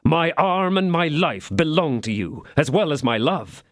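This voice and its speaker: A man voicing an ardent young male swordsman who flatters with theatrical, sentimental pleading. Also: fairly high pitch, a little slow, no echo, clean studio sound, pitched above the performer's own voice, heavily acted.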